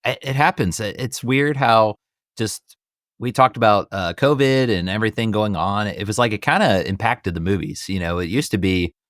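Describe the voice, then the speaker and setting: A man's voice: on the low side, normal speed, a bit bright, no room sound, studio quality. A middle-aged man with an American accent hosting a casual podcast, chatty, upbeat and enthusiastic. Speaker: podcast host.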